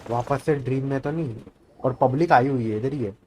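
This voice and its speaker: Rapid-talking Indian man streaming a game. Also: medium pitch, warm tone, slight background noise. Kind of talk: casual gameplay commentary. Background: faint game music.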